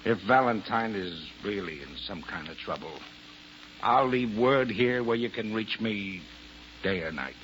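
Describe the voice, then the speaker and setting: An actor playing a gruff, exasperated, hard-boiled police lieutenant in 1940s-50s radio drama style. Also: male voice, fairly low pitch, animated, a little slow. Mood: grudging. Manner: blustering, emphatic. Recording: dull old radio recording, faint noise.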